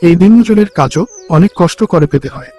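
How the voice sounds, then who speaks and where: A poor young day labourer in a cartoon story, acted by a man. His voice is distinctly high for a male. He talks earnestly and respectfully, with a dutiful, worried air, over faint music. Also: expressive, brisk, mellow. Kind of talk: Bengali cartoon story dialogue.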